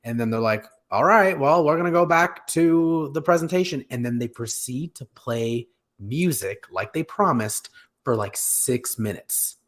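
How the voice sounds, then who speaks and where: A middle-aged man talks as host of a relaxed online chat show. His pitch is ordinary, and he speaks casually from home on a video call. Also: a little slow. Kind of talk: casual chat about radio drama and film.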